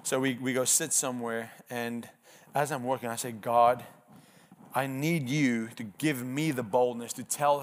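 A man in his thirties with an Afrikaans accent and Afrikaans as his first language, preaching a personal testimony in an earnest, emphatic storytelling way. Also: mid-range voice. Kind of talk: sermon testimony.

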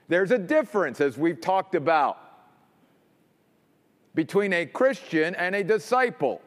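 A man preaching a sermon as pastor, emphatic, dropping low and quiet to stress points. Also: long reverb tail.

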